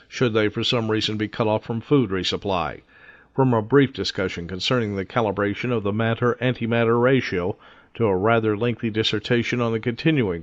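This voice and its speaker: A man narrating a scripted space adventure in a measured, dryly wry manner. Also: fairly low pitch, no echo, lightly acted. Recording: dull, close dry track under music. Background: music.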